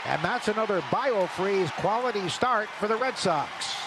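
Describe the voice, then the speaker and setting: Brief: male color commentator, slightly high voice, plain stat-reading delivery, noisy stadium. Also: expressive, moderate pace. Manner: matter-of-fact reciting of stats. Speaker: radio baseball announcer. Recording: noisy ballpark broadcast.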